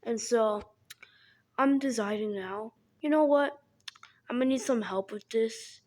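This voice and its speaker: A young woman who speaks haltingly, often repeating and restarting her words, as she talks casually to her viewers. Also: on the high side, a little mellow. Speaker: animation creator. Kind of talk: casual vlog appeal.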